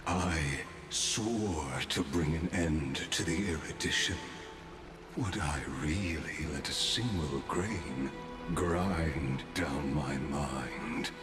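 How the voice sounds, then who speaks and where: Very deep, slow ancient scholar. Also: male voice, heavily acted. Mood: proud, resolute. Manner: solemn, slow, portentous, deliberate. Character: ancient imprisoned male scholar, grandiose, ominous, patient philosopher. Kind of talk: theatrical scripted game monologue. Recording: echoey, with loud music behind. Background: loud game music.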